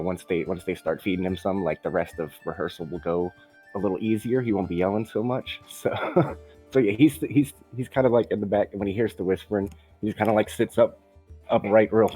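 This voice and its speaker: Chill dude running a tabletop game, lowish voice, faint music behind. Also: male voice, mellow, low-quality recording. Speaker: dungeon master.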